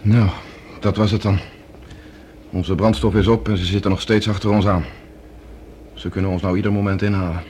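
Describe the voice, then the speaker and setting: An actor a radio play playing a resolute, practical professor on the run in space. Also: male voice, on the low side, little pitch movement, normal speed, darkish tone, natural voice. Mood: grim resolve. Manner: urgent, practical, drily defiant. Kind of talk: Dutch radio drama acting. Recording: noisy old radio drama recording.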